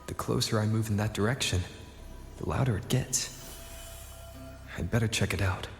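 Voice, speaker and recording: Thoughtful male game protagonist; lowish gravelly voice, measured and calm, heavy echo. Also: faint music behind. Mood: pensive. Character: calm young male wandering hero, thoughtful investigator.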